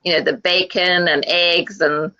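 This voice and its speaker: A woman from South Africa, a guest in a casual online panel chat, speaking hesitantly with many pauses and fillers. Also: lowish voice, fairly even intonation, sharp tone. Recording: dull lo-fi web call audio.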